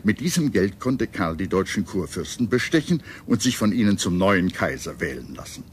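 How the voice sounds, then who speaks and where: A man reading scripted history documentary narration in a measured, solemn and dramatic manner. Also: deep voice, sing-song, a little hiss or hum.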